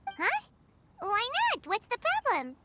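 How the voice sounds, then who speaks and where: A woman voicing a tiny, excitable, childlike fairy companion in a video game, with exclamatory delivery. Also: very high-pitched, slow pace, noisy background, heavily acted. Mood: puzzled. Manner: exclamatory, speaks of herself in third person. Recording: music behind the voice.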